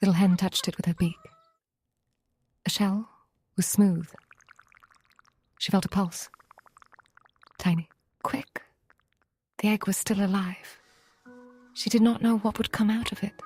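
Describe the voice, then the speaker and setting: Female bedtime narrator: very slow, mellow, soft and breathy, often close to whispering, gentle delivery. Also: mid-range voice, level tone. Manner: gentle, hushed, unhurried with long pauses. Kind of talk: scripted bedtime story narration, slow and cozy.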